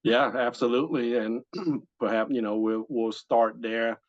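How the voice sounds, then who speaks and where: A man's voice: mid-range voice, steady tone, unhurried, no echo, lo-fi sound. A man from Vietnam, a retired Marine, answering interview questions politely and hesitantly, with many fillers, over a video call. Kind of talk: casual interview.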